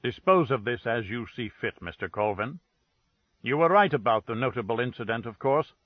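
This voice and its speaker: A man's voice: medium pitch, animated, moderate pace, no room sound, muffled recording, close to an everyday voice. A man reading the lines of a proud detective who speaks sharply and formally.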